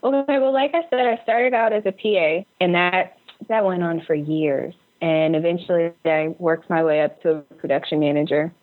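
A dull, lo-fi recording carries a young woman's fairly low voice. She is from Texas and speaks conversationally as a guest being interviewed. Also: fairly even intonation, normal speed. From Houston, Texas. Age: young adult. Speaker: interview guest, actor and director.

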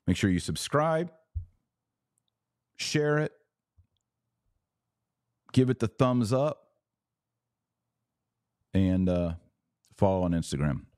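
A man with a very low, deep voice hosts a podcast. He reads viewer comments aloud and answers them sarcastically. The sound is dry and close. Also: a little mellow, dry recording. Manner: preachy when he turns serious. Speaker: podcast host.

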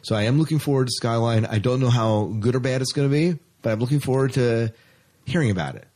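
A man's voice: medium pitch, fast, a little mellow. A man co-hosting a podcast, chatting casually and enthusiastically about science fiction news. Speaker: podcast co-host. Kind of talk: casual sci-fi news chat.

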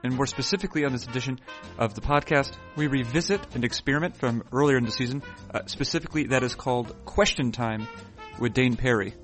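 A man hosting a podcast, talking in a wry, halting way full of fillers, sounding sleepy early in the morning in a hotel room. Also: medium pitch, faint music behind. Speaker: podcast host. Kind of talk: rambling podcast intro and chat.

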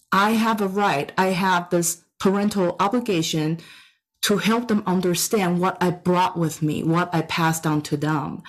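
A middle-aged woman with a Taiwanese accent and a slightly low-pitched voice shares personal stories. Chinese is her first language. She speaks emphatically, often repeating a phrase to drive it home. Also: poor audio. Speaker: storyteller giving a personal talk. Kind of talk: personal anecdotal storytelling.

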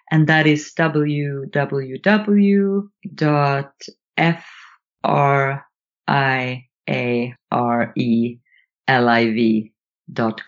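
Swedish-accented woman on dull lo-fi audio. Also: low-pitched. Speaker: guest, author and trainer in nonviolent communication. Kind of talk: reflective interview conversation.

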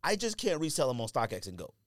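A man hosting a sneaker podcast, talking in a casual, blunt and slangy way, quick to argue. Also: medium pitch, sing-song. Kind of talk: casual chat and debate.

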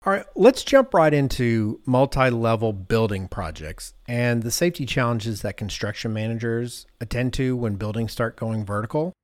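A man's voice: medium pitch, expressive, moderate pace, few pauses, a little mellow, no echo, studio quality. A man hosting a conversational podcast, talking informally and thinking aloud as he goes. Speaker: podcast host.

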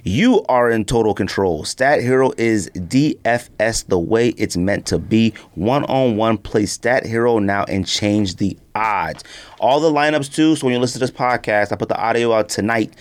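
A man hosting a podcast, doing a casual, slangy ad read with off-the-cuff hype and repeated pitches to the listener. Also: moderate pace. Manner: slangy, often addressing the listener as B or fam. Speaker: podcast host.

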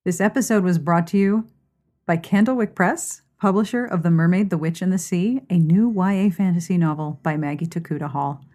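A woman's voice: lowish voice, brisk, warm tone. A woman hosting a podcast in an informal, playful, conversational way. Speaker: podcast host. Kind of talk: chatty podcast hosting and sign-off.

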